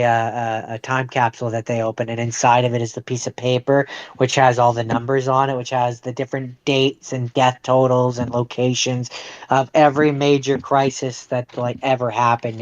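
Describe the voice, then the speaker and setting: A man's voice: mid-range voice, no echo, dull audio. A man co-hosting a podcast, talking casually about films. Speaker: podcast co-host. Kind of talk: casual chat reviewing movies.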